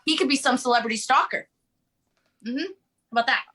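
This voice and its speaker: A woman playing a brash, boastful, streetwise male carjacker in a comic table read, loud, swaggering and profane. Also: high-pitched, a little fast. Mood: indignant.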